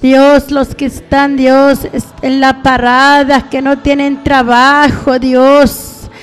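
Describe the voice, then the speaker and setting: A woman's voice: high-pitched, level tone, takes it slowly. A mature woman preacher praying aloud in a pleading, spontaneous way, calling out to God again and again in short phrases. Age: middle-aged or older. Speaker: evangelical preacher praying on a radio programme. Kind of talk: fervent spontaneous intercessory prayer. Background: faint music.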